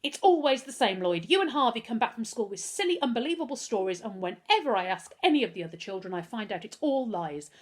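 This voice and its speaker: A woman doing a brisk scolding mother. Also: mid-range voice, very animated, a bit bright, husky. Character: stern, fussy mother of the boys.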